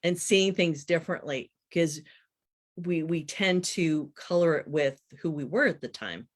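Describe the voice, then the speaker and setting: A woman's voice: low voice, measured pace. Conversational woman author in her fifties. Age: 50s. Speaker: guest author. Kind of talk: casual interview chat.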